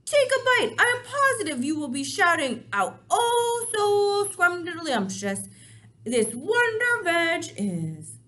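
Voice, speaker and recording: A woman's voice: medium pitch, lively intonation, moderate pace, few pauses, a bit bright, natural voice. Woman doing a sweet muddled storybook giant, coaxing, bouncy voice. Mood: eager coaxing. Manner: eager, coaxing, muddled grammar. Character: gentle big-hearted male giant, jumbled speech.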